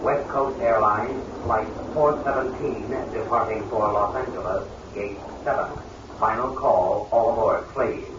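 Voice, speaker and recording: A man playing a formal, measured airport flight announcer over a public address in an old-time radio drama. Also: on the low side, lightly acted. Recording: echoey distant muffled PA, loud aircraft noise.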